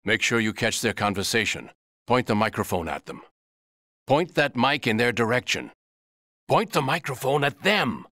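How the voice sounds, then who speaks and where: An actor playing a firm special-operations soldier giving mission instructions in a video game. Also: male voice, medium pitch, big pitch swings, moderate pace, pushed and bright, no echo, clean studio sound. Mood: urging.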